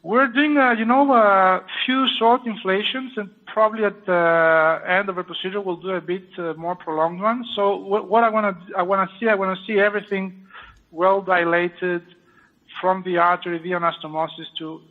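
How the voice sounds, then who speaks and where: Halting French-accented man on a tinny line. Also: high-pitched, fairly even intonation. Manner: explanatory, halting with many fillers. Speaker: surgeon performing a live procedure. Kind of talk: live procedure commentary.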